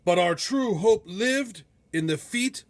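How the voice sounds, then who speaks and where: A man reading a rhyming storybook aloud in a theatrical, measured, epic storytelling manner. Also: sits high, expressive, a little hiss or hum. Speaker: storybook reader. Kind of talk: dramatic rhyming storybook narration.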